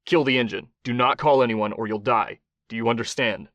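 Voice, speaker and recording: A man's voice: medium pitch, a little fast, fairly bright tone, dry recording, dull audio, lightly acted. A man voicing a tough operative who talks in a put-on Mexican accent, giving clipped, menacing commands.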